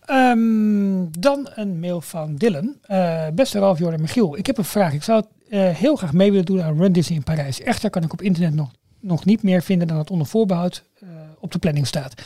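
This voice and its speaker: High-voiced man on a podcast. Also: animated, a little fast, few pauses, mellow, no echo, clean studio sound. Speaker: podcast co-host. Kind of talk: casual podcast chat.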